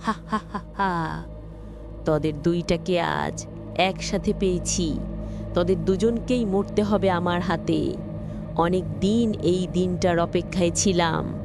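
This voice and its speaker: A woman voicing the vengeful ghost of a murdered young bride in a cartoon horror story, speaking with slow, deliberate menace. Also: on the low side, creaky, noisy background. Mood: gloating menace.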